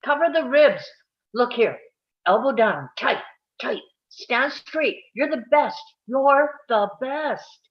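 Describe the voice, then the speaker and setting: A man's voice: very high-pitched, lively intonation, slow pace, lo-fi sound, exaggerated voice. A man playing a worried boxing cornerman, urgent and clipped, in a script table read.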